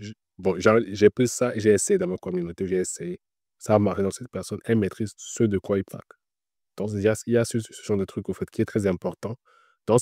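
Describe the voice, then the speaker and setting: A man's voice: fairly low pitch, mellow, dry recording, clean studio sound. A man being interviewed, giving casual career advice in an explanatory way, repeating his points with frequent filler words. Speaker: interview guest working in Web3.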